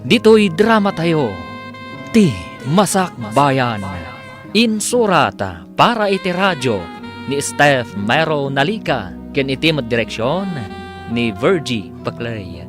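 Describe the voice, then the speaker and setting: A man's voice: fairly high pitch, big pitch swings, slow. A man announcing a radio drama in a scripted, dramatic style. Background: music.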